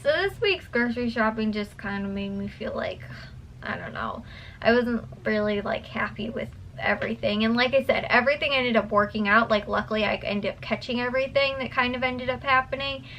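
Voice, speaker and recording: A woman's voice: on the high side, fairly quick, a bit bright, a little hiss or hum, poor audio. Tired young woman rambling to her vlog. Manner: rambling, many fillers and restarts. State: tired, voice a bit worn. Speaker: vlogger. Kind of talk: casual chat to camera. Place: home at night.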